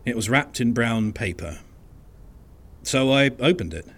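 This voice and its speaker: A man playing an unassuming, wry and resigned archivist in an audio drama monologue, speaking in a dry, understated, conversational way with hesitant pauses. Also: medium pitch, expressive. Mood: uneasy, puzzled. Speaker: narrator of an audio fiction podcast.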